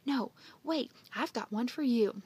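A blunt, bossy country aunt out of a storybook, performed by a woman reader. Her voice is high and husky, with brisk, folksy, emphatic phrasing. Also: a little mellow.

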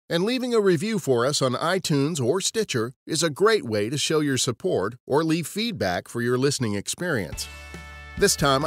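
American guy narrating a podcast, lively up and down voice, faint guitar behind. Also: mid-range voice. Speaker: podcast host. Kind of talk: scripted narration. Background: guitar music.

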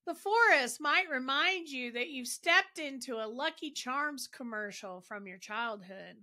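A woman leading a guided meditation, narrating in a gentle, soothing and deliberate manner. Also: sits high, lively intonation.

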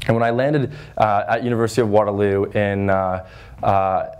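A man in his twenties from Northern Ontario with a loud voice, giving an earnest talk to a high school class with lots of fillers and restarts. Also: level tone, normal speed, smooth. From Northern Ontario, Canada. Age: 20s. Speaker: guest speaker. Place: high school classroom with chalkboards, audience seated.

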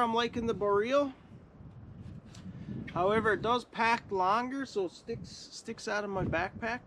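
Middle-aged guy rambling in the woods, bit high voice, tripod camera, wind and noise in the background. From Newfoundland, Canada. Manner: rambling, easygoing, stumbles and restarts often. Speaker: bushcraft vlogger. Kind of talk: casual outdoor vlog talk. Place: outdoors in a sunny forest by a lake. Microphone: camera on a tripod a few metres away. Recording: outdoor camera audio with clear background noise.

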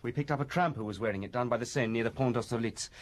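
A young male detective, played by an actor in a radio drama. He is dutiful and matter-of-fact, with a mid-pitched, businesslike delivery. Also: fast, lightly acted.

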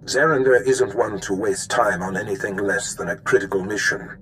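An actor playing an imperious male Sith master in a video game, commanding, measured and menacing. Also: lowish voice, a bit bright, slight background noise, close to an everyday voice, low-quality recording. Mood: stern, commanding. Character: stern, imperious male Sith master briefing his apprentice. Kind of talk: scripted video game acting.

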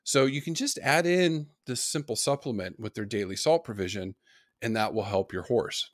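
A man's voice: mid-range voice, normal speed, dry recording, studio quality. A middle-aged man lecturing on horse nutrition, giving practical tips in an online course. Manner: practical, advice-giving explanation.

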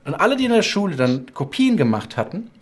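A man's voice: high voice, fast, husky. Chatty male host telling a story. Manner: chatty storyteller, animated when telling anecdotes. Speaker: talk show host. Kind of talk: casual video-call interview. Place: home studio room with bookshelves and colored lights.

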